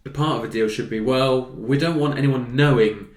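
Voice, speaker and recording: A man's voice: mid-range voice, moderate pace, a little mellow. Hungover young man hosting, echoey room. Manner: chatty, jokey, teasing. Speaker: host. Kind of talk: casual gaming news chat. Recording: roomy echo.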